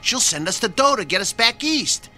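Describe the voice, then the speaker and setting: A jittery, worried sidekick who pleads and stammers in a fairly high voice. His pitch swings widely as he frets. Dramatic music plays underneath this radio play. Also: big pitch swings, normal speed, bright, forceful tone, full character voice. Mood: anxious. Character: anxious, pleading male sidekick.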